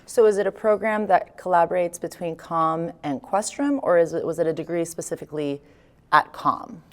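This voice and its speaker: A woman's voice: lowish voice, moderate pace. A young woman, a student, conducting a friendly conversational interview. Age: young adult. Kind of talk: interview questions.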